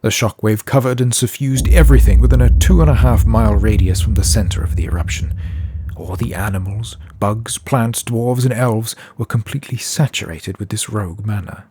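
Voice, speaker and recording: Low-voiced male fantasy audiobook narrator. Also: normal speed, mellow, slight background noise.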